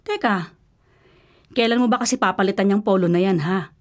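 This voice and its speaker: An actress playing a caring, protective middle-aged mother in a Filipino radio drama, gently chiding in a Filipino accent. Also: medium pitch, a little fast, a little hiss or hum, close to an everyday voice. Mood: concerned, chiding. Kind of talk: Tagalog radio drama acting.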